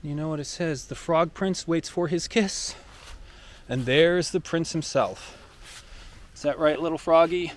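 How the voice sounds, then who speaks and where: A man's voice: on the high side, unhurried. Playful man narrating a night trail walk. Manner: theatrical, storybook-like exclamations. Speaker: host giving a guided walk-through.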